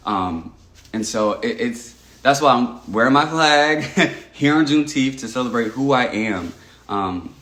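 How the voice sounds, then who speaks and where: A man's voice: mid-range voice, expressive, a little slow, fairly bright tone. A young man from Texas talking earnestly on a casual livestream, reading out and answering viewer comments with frequent "um"s and restarts. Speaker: livestream host. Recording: echoey room.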